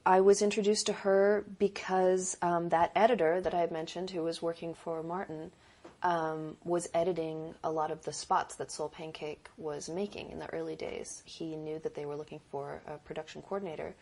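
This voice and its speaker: A woman's voice: steady tone, moderate pace, a little mellow. A young adult woman producer and director talking in a casual interview, earnest and reflective, thinking aloud with small restarts. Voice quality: deep voice.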